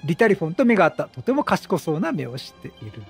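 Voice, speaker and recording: A man giving casual video game commentary, chatty and jokey, reacting out loud with exclamations. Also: fairly high pitch, sing-song, quick pace, husky, faint music behind. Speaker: game streamer.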